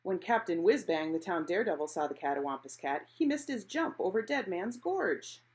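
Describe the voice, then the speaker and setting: A woman reading a picture book aloud expressively, in an animated storytelling manner. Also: on the low side, moderate pace. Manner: animated, acting out characters' exclamations.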